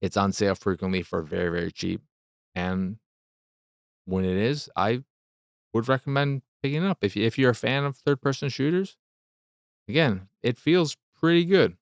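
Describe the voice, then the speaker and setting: A man's voice: mid-range voice, lively intonation, normal speed, long pauses, dry recording, studio quality. A man hosting a game review show, talking off the cuff in a rambling, casual way, repeating phrases for emphasis and sometimes swearing.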